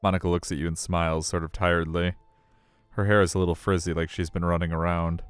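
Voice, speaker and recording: A man's voice: low voice, flat delivery, fast, clear, resonant tone, no echo. A man streaming a live read-aloud of a visual novel, mixing narration with casual chat.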